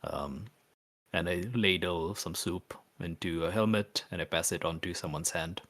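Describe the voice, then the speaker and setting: Low-voiced male tabletop player. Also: normal speed, fairly bright tone. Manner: tentative, piecing sentences together. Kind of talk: improvised scene description.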